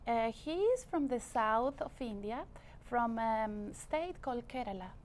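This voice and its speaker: A fairly high-pitched woman with a Colombian Spanish accent answers an interviewer's questions. She explains things patiently and fills her pauses with "uh" and "um". There is a faint hiss behind her voice. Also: a little mellow. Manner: patient, explanatory, with frequent fillers. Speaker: guest. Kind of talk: casual interview talk.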